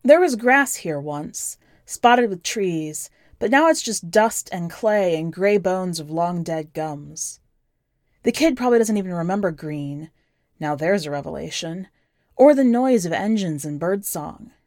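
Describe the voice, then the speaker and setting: A woman reading scripted first-person fiction as a podcast narrator, with measured, deliberate phrasing. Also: lowish voice, animated, a little mellow, no room sound, studio quality. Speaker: podcast host and story narrator.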